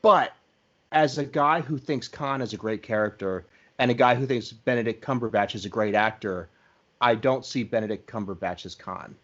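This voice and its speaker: A man's voice: medium pitch, moderate pace, fairly bright tone. Guy hosting a podcast, casual fan chat and stories, middling voice, kinda lo-fi sound. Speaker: podcast host.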